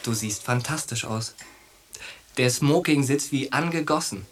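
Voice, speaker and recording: A man's voice: medium pitch, measured pace, very noisy, lightly acted. An actor playing a brash, cocky young soldier in a German radio drama, coarse and pushy with his boyhood friend. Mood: coaxing.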